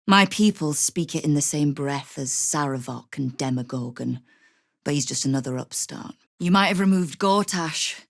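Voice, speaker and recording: A woman's voice: fairly low pitch, gravelly, no room sound, studio quality, full character voice. An actress playing a shrewd, tough female crime boss in a video game, speaking with wry, controlled menace. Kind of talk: video game character dialogue.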